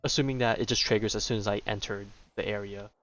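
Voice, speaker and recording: A man's voice: fairly low pitch, steady tone, fast, a bit bright, muffled recording. A man giving casual, slangy, offhand commentary as he streams a video game. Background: game music.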